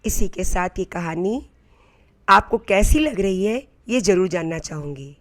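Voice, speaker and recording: A woman narrates an inspirational story from a script. Her pace is slow and measured, her pitch sits in the middle, and her manner is earnest. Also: animated.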